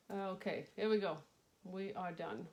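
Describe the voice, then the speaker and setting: A woman's voice: medium pitch, darkish tone, a little hiss or hum. A middle-aged woman talks to her viewers in a loose, rambling way, with a slightly nasal voice. She laughs easily and greets people by name as she hosts a craft stream. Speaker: craft livestream host. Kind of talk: casual live-stream chat.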